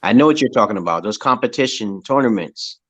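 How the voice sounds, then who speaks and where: A man with a deep voice in casual, opinionated chat, talking in a loose, slangy way and laughing often. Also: lively intonation, quick pace, fairly bright tone, muffled recording. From United States. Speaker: conversation partner in an English chat.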